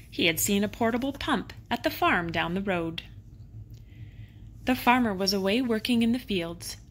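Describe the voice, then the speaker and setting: A woman with a medium-pitched voice reads a bedtime storybook aloud to children. She narrates expressively, stretching out key words and leaning into dramatic moments. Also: a bit bright, a little hiss or hum. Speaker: storybook reader.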